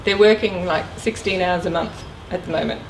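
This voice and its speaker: A woman's voice: lowish voice, steady tone, normal speed. A middle-aged woman speaking informally as a guest speaker, giving a career talk and answering questions. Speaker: guest speaker, former air traffic controller. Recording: echoey room, distant mic, clear noise.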